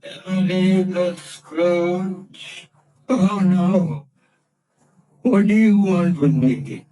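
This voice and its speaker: An actor playing a frightened, scoffing old miser in a vintage radio play, sneering and rising to shrill panic. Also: male voice, drawn-out words, dark tone, poor audio. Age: older. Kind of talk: vintage radio drama acting. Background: music.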